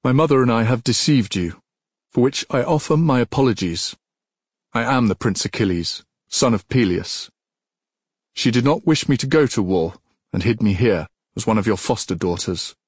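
A resolute young prince, voiced by a male narrator in an audiobook. He speaks with calm, courteous formality and an earnest tone, in a dry recording with a faintly husky edge. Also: mid-range voice, moderate pace, dry recording. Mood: resolute apology.

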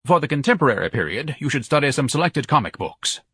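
Man playing a pompous, bombastic orator, very animated. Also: medium pitch, fast talker, slightly rough, dry recording, clean studio sound, voice pushed up, full character voice. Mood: solemn, lecturing. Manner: orator-like, stern, solemn, florid diction. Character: pompous, bombastic, scholarly man with grand political schemes. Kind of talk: audiobook character acting.

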